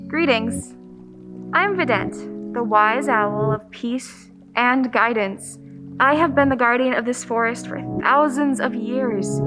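Woman voicing a stately ancient owl over music. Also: a little high. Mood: serene, stately. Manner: stately, formal, drawn-out delivery. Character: ancient wise owl, serene guardian of a forest. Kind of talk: audio drama acting. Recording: music under the voice.

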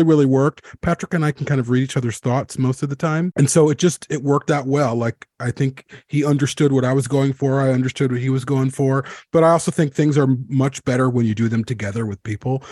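A man hosting a casual roundtable, talking earnestly in a halting way and circling back over his phrases. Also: medium pitch, a little mellow, no echo, studio quality. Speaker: podcast host and co-director.

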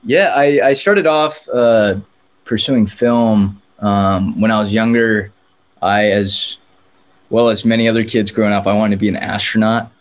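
Young man, lowish voice, easygoing chatty guest, lots of fillers. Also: a little slow. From San Diego, California. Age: young adult. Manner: relaxed, chatty, frequent "like" fillers. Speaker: guest filmmaker. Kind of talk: casual interview.

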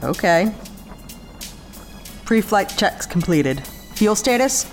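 A woman playing a brisk, businesslike spaceship pilot in a sci-fi audio drama. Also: medium pitch, big pitch swings, faint music behind.

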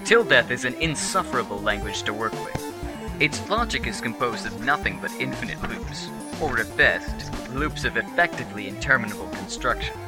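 A man's voice: mid-range voice, animated, normal speed, close to an everyday voice. A man playing a wry, formal narrator in a radio play, telling the story with mock-serious drama. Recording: loud music behind the voice.

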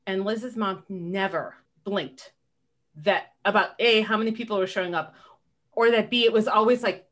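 A woman hosting a casual podcast conversation, talking in a warm, earnest way and asking follow-up questions. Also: medium pitch, normal speed. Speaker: podcast host.

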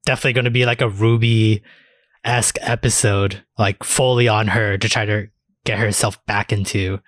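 A man's voice: lowish voice, steady tone, moderate pace, fairly bright tone, no echo, studio quality. A man co-hosting a podcast, chatting casually in loose, colloquial phrasing. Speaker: podcast co-host. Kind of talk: casual chat.

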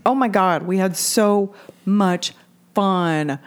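A woman's voice: mid-range voice, takes it slowly, clear, resonant tone. An American woman in her forties chatting casually and candidly as a co-host, with some swearing. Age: 40s. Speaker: podcast co-host. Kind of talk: casual chat.

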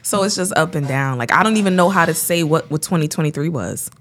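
A woman chats loosely on a podcast, candid and quick to laugh. Her voice is very low for a woman. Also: expressive. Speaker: guest. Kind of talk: casual chat.

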